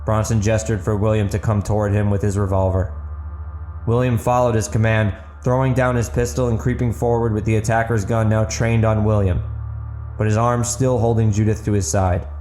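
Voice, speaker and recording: A man's voice: on the low side, flat delivery, moderate pace, warm tone. Echoey male narrator, dramatic fiction. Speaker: audiobook narrator. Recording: roomy echo, faint music underneath.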